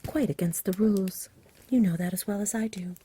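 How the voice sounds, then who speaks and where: Woman doing a polite melancholy knight, formal talk, whispery asmr storybook, really breathy n dark. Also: medium pitch, fairly even intonation, brisk, voice pushed up. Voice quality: soft, whispery. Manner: formal, grave, good-natured. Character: courteous, melancholy saintly knight with grandiose speech.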